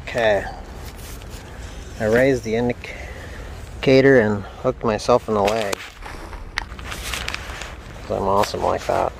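A man's voice: fairly low pitch, steady tone, slow pace, vocal fry, long pauses, noisy background. An American man in his thirties chatting casually and easygoing as a fly fishing vlogger, recorded on a phone outdoors. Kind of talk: casual outdoor vlog chat. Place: outdoors beside a small creek. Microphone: phone, handheld or nearby.

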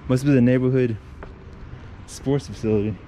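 A man's voice: medium pitch, steady tone, normal speed, mellow, rough recording. A man in his thirties casually chatting on a walking tour, easily impressed as he films himself outdoors with a handheld camera. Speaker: vlogger. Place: outdoors on city streets. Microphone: action camera held at arm's length. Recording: outdoor street noise, passing cars.

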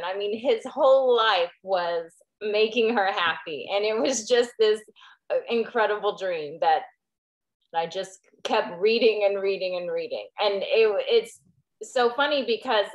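A woman author talking in a relaxed, halting way in a casual panel over a video call from home. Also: medium pitch, poor audio. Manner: relaxed, halting, many fillers. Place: home room.

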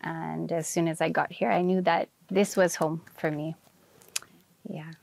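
Brief: woman, 30s, from Canada, earnest guest voice, slightly low, dry close sound. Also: fairly even intonation, unhurried, gravelly, dry recording. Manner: gentle, earnest, with frequent soft fillers. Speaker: interview guest. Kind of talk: casual storytelling interview.

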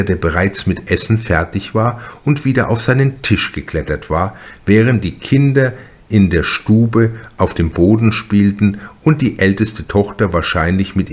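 A man narrates a crime novel in a low voice. His delivery is measured and deliberate, as scripted literary storytelling for an audiobook.

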